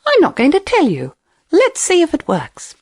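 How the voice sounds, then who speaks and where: Woman doing a high-pitched, cheeky little hare. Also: dry recording, clean studio sound, exaggerated voice. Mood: sly, coaxing. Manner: brisk, urging, excitable. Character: cheeky, crafty little male hare, quick and bossy.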